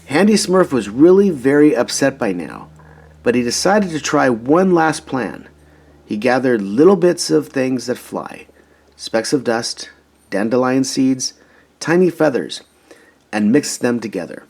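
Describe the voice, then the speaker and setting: A man reading a children's storybook aloud in an expressive, emphatic way. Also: mid-range voice, measured pace, gravelly, slightly rough. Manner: animated, emphatic, dramatizing story moments. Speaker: storybook reader.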